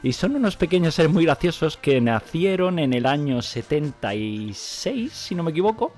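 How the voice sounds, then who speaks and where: A man's voice: on the high side, big pitch swings, normal speed, darkish tone, soft background music. A man from Catalonia hosting a podcast, chatting casually with a guest, joking and teasing as he goes. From Catalonia, Spain. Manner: jokey, laughs easily, teasing. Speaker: podcast host. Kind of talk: casual interview chat.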